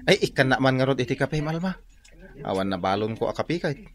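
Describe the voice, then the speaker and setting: An actor playing a boastful, wheedling working man in a radio drama, exclaiming excitedly. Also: medium pitch, quick pace, lightly acted.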